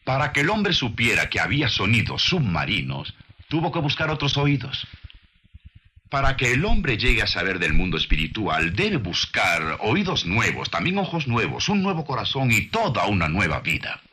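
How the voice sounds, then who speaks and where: A man reads scripted narration in a low, husky voice with a bright, forceful edge. He is deliberate and didactic, shaping his lines like a sermon and leaning on rhetorical emphasis. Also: very animated. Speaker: documentary narrator and preacher.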